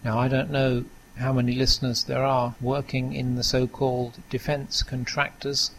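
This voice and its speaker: A man's voice: mid-range voice, flat delivery, moderate pace, fairly bright tone, rough recording. A man hosting a podcast, speaking in an earnest, conversational monologue straight to his listeners, with noticeable background noise. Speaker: podcast host. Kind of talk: opinionated informal monologue to listeners.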